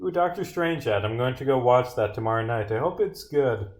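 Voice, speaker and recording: A man's voice: medium pitch, expressive, brisk, a little mellow. Sweary man muttering through a livestream. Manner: sweary, grumbling asides. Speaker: streamer, game developer. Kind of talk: casual livestream muttering while reading and bugfixing script aloud. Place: indoors at a computer, streaming.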